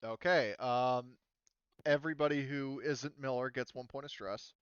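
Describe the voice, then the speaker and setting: A man acting as game master in a casual online roleplaying session, thinking aloud and halting as he checks rules. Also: mid-range voice, fairly even intonation, clean tone, no echo, lo-fi sound.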